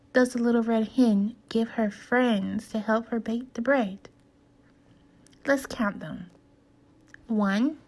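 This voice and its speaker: A woman teacher reading a storybook aloud to children, in a deliberate, instructive way with questions to her listeners. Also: a little high. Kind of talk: slow storybook read-aloud and lesson for kids.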